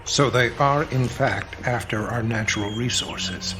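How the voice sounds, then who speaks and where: An actor playing a serious, concerned president in a radio drama, questioning those around him. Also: male voice, mid-range voice, a little slow, background noise, lightly acted. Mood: grave. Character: grave, concerned male president seeking answers. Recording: music under the voice.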